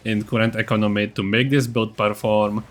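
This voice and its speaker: A man's voice: on the low side, little pitch movement, moderate pace. A man giving a scripted, matter-of-fact game guide narration, with a blunt, self-deprecating streak. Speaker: gaming video creator.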